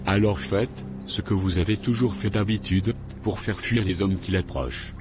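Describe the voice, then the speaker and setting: Guy voicing a serious cartoon man, fairly low voice, measured, bit of music under it. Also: steady tone, close to an everyday voice. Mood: uneasy. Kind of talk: French-language cartoon dub.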